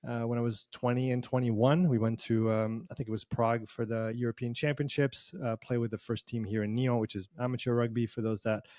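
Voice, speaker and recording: A man's voice: mid-range voice, steady tone, fairly quick, few pauses, darkish tone, dry recording, studio quality. A man from Switzerland with a Swiss accent that carries a slight Canadian sound, telling his story as a guest in a casual online interview, rambling along with many fillers. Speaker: performance coach guest. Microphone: video call.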